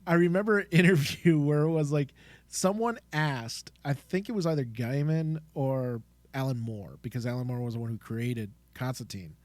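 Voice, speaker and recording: A man co-hosting a podcast in casual chat, excitable and rambling as he talks. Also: medium pitch, normal speed, no echo. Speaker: podcast co-host.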